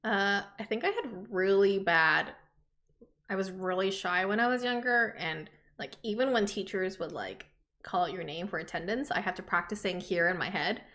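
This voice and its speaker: A woman's voice: medium pitch, moderate pace, a bit bright. A young adult woman chatting informally as a podcast co-host. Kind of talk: casual chat.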